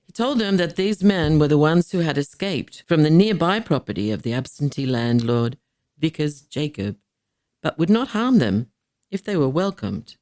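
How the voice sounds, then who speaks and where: A man reading scripted history narration in a measured, formal way. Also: on the high side, very animated, darkish tone, no room sound. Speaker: podcast narrator.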